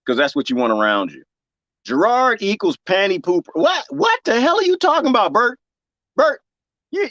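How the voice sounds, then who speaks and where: A man with a Texas twang talks to his viewers in a high voice that jumps all over the place, joking and laughing as he goes. The sound is dry and a little dull. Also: no echo. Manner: animated and joking, laughs often, talks straight to his chat. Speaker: livestream host reading viewer comments. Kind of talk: casual chat with viewers. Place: garden, planting cuttings.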